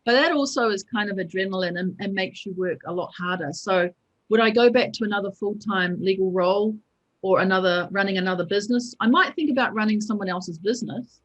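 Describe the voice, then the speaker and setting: A woman's voice: medium pitch, a little fast. Reflective woman over dull call audio. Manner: reflective, with restarts. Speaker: entrepreneur, former lawyer. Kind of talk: interview answer.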